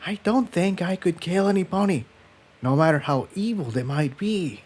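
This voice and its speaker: Man voicing a soft, shy young mare. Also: high-pitched, normal speed, slightly rough, voice pushed up. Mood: troubled. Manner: soft, hesitant, stammering. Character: gentle, shy young female pony.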